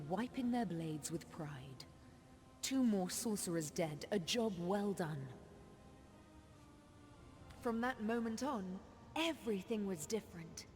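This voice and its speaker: An actress playing a proud, sorrowful forest witch in a fantasy video game, telling her tale in measured, formal speech. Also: medium pitch, a little mellow, close to an everyday voice. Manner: measured, solemn, formal storytelling. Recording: long reverb on game dialogue.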